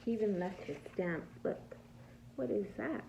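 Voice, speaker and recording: A woman reading a picture book aloud as a preschool teacher, pausing to ask small children simple questions and patiently explaining the answers. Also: mid-range voice, gravelly, a little hiss or hum, poor audio. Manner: animated, asks simple questions and answers them herself.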